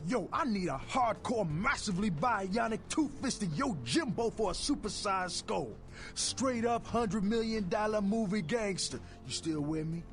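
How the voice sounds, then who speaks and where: Sleazy street fence in a game, high and slick, streetwise sales patter. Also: male voice, lively intonation, a little slow, few pauses, soft background music. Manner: slangy streetwise hustler patter. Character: fast-talking sleazy heavyset male street fence. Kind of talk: video game character dialogue.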